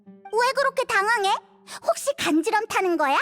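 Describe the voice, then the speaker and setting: Casting: pint-sized fairy sidekick for a game, very shrill and bright, cutesy chatterbox. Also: female voice, normal speed, faint music behind. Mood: curious, teasing. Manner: cute, squeaky, expressive. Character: tiny chatty childlike fairy companion. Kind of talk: cartoonish game voice acting.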